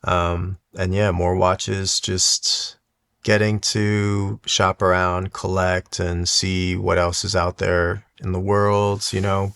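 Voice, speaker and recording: A man talking casually and reflectively in a monotone, low-key, unhurried way, like a personal video diary for his hobby channel. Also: smooth. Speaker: YouTube watch collector and content creator. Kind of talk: casual reflective solo vlog talk.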